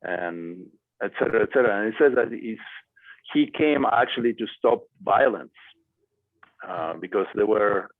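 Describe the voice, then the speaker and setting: A man speaking as a guest to a class and answering student questions, with many pauses and fillers. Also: fairly low pitch, lively intonation, no room sound. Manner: hesitant, many fillers and restarts. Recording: dry tinny phone-like audio.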